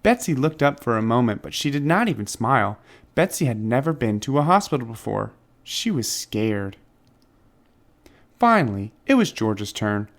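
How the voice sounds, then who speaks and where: A man reading a children's story aloud as narrator, phrasing it deliberately and expressively for young listeners. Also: medium pitch, very animated. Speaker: storybook reader.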